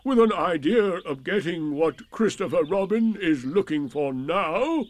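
Ponderous, gloomy old donkey. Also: male voice, high-pitched, lively intonation, no echo, pitched above the performer's own voice. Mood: pompous. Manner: ponderous, drawn-out, sternly declaiming. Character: gloomy, pompous, self-pitying old male donkey. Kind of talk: storybook character voice.

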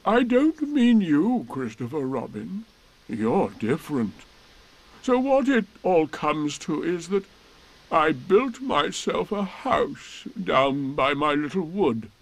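A man voicing a gloomy, melancholy toy donkey in a storybook, with mournful, drawn-out, resigned speech. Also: on the high side, big pitch swings, voice pushed up, exaggerated voice, poor audio. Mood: self-pitying gloom.

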